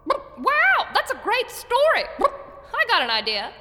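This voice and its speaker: A woman playing a chatty talking hen who clucks, squeaky-high and swooping all over. Her bright sound carries a long reverb tail, like a storybook podcast. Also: wildly swooping pitch, measured pace, a little hiss or hum, heavily acted. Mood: bubbly.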